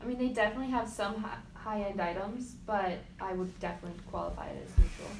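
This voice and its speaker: A 21-year-old woman answers focus group questions in a casual, upbeat way. She sounds far from the mic in an echoey room, with steady background noise. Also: mid-range voice, quick pace, creaky.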